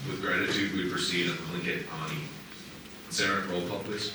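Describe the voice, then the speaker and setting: A man's voice: low-pitched, fairly even intonation, normal speed. A middle-aged man chairing a city assembly meeting as mayor, businesslike, with frequent uh fillers. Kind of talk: formal meeting procedure. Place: council chamber. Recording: echoey chamber, distant mic, loud hum.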